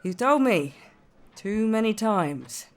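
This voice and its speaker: A woman's voice: mid-range voice, sing-song, takes it slowly. A woman playing a sceptical, down-to-earth blacksmith in a fantasy audio drama, dry and blunt. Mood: wry. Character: sceptical, wry female blacksmith, down-to-earth tavern patron.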